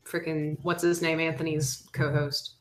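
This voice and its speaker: A woman's voice: low-pitched, level tone, unhurried, poor audio. A woman from Texas on a casual livestream, dry and exasperated in her remarks.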